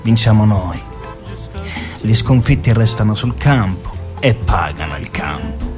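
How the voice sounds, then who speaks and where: A man's voice: on the low side, lively intonation, measured pace. A man playing a boastful, swaggering mafia gunman in a theatrical radio drama monologue. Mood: boastful, menacing. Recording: music behind the voice.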